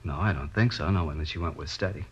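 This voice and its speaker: A man playing a bewildered, protective middle-aged father in a radio drama, plain-spoken and defensive, with a husky voice. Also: rumbling low, quick pace. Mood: subdued, cooperative. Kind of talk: 1950s radio drama acting. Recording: muffled old-time radio recording.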